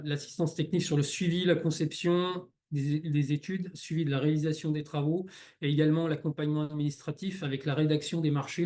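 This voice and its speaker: A man giving an explanatory presentation in a halting way, often repeating words as he goes. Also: fairly high pitch, dark tone. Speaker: local authority staff presenter.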